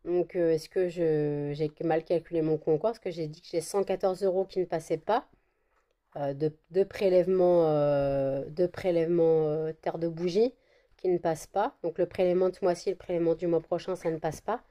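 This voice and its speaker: A woman's voice: on the low side, fairly even intonation, moderate pace, warm tone. A woman vlogging casually at home, talking to her phone camera with a slight cold, rambling with many fillers and restarts. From France. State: still has a cold. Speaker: vlogger, candle maker. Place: at home indoors.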